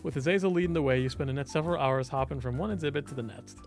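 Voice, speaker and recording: Male visual novel narrator, expressive read, fry in the voice, soft background music. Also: a little high, rapid-fire. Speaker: let's player and voice actor.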